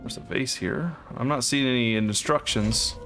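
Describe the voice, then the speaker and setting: A man's voice: mid-range voice, normal speed, music in the background. A man casually commenting on a co-op game as he plays, thinking aloud and unsure as he works through a puzzle. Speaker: co-op game let's player.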